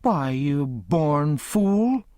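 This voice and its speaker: A man voicing a sharp-tongued older Southern woman who scolds in a high, shrill tone. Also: expressive, normal speed, dry recording, lightly acted. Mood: indignant.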